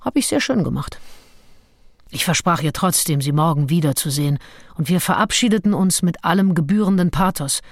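An actress playing a self-assured, calculating nineteenth-century seducer who narrates her diary in a radio play, wry and deliberate. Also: fairly low pitch, expressive, no room sound, studio quality. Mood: wry, smug. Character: self-assured, calculating female seducer and diarist, early 1800s.

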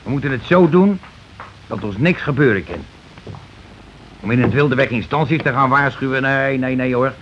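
An actor playing a domineering, self-justifying working-class husband in a radio play, talking in an insistent, colloquial, repetitive way. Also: male voice, mid-range voice, normal speed, pauses often, close to an everyday voice. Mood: agitated, insistent. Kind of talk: Dutch radio play acting. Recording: dull, noisy old radio recording.

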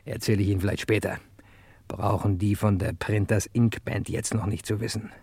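Hard-boiled radio detective hero, low voice, cocky, clipped slangy delivery, first-person narration. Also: male voice, fairly even intonation, normal speed, a little mellow, breathy edge. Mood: cocky, sardonic. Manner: clipped, slangy, addresses the listener directly.

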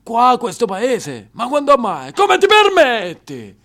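A weary, dismissive middle-aged clerk, talking down to a young artist, as an actor puts him on. The voice sits high and hectors, loud and preachy. He sounds like a clerk holding court in a little provincial office. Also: wildly swooping pitch, slow pace, full character voice. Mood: hectoring. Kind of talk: theatre monologue performance. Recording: broadcast of a live stage show.

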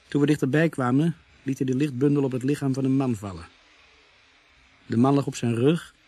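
An actor playing a police constable who narrates a radio drama in a measured, grave way with a husky voice. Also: male voice, mid-range voice, a little mellow, dry recording, natural voice. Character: male police constable grimly narrating a murder case.

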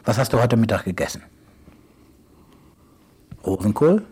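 A man's voice: mid-range voice, sing-song, a little slow, a little mellow, breathy edge. A man talking playfully to a small child, with repeated coaxing questions and nonsense words.

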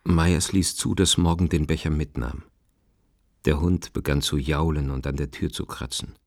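A man acting as the detached narrator of a radio drama, reading literary prose in a measured, matter-of-fact way. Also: very deep voice, fairly even intonation, vocal fry, no room sound, clean studio sound. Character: measured, detached male storyteller. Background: plucked guitar music.

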